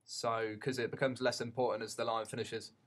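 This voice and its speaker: A man giving an unscripted tutorial as a teacher, haltingly thinking aloud as he goes. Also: medium pitch, level tone.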